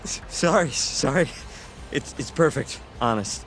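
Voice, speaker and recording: An actor playing a cheeky young friend in a video game cutscene, light, informal and teasing. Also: male voice, on the high side, lively intonation, measured pace, fairly bright tone, faint music behind. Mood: amused, teasing. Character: cheeky young male tech-savvy friend, amused teaser.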